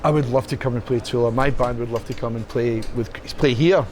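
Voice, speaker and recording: Scottish guy, middle-aged, chatty but halting, ums everywhere, bit of noise behind. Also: medium pitch, fairly even intonation, a little mellow. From Scotland. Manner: halting, many fillers and restarts. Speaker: interview guest, musician. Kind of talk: casual chat. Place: club.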